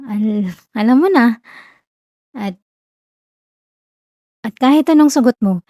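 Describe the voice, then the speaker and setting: A young Filipino woman acting a whiny, shy tsundere college girl confessing love in a soft, hesitant ASMR roleplay. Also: a little high, animated, slow, dry recording, studio quality. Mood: wistful, tender.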